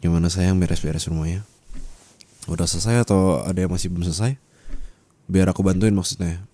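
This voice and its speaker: A man's voice: low voice, steady tone, brisk. A young adult man playing a husband talking intimately and colloquially to his wife in an audio roleplay, close on the microphone. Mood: soft, affectionate. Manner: intimate, colloquial, turning from soft to scolding. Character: young husband, affectionate then angrily scolding his wife. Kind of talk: Indonesian ASMR roleplay acting, addressed to the listener. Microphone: close studio microphone.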